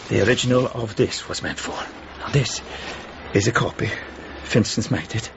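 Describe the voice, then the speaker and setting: Worldly guarded man, low voice, matter-of-fact, radio drama, faint background ambience. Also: normal speed, creaky, highs cut off, background noise. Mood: knowing, resigned. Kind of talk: naturalistic radio drama dialogue.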